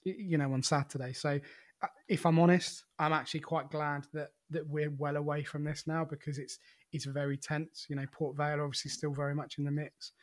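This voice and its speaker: A man co-hosting a football podcast, talking in a relaxed, conversational way with frequent "you know"s and restarts. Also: a little high, fairly quick. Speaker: podcast co-host. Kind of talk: casual football podcast chat.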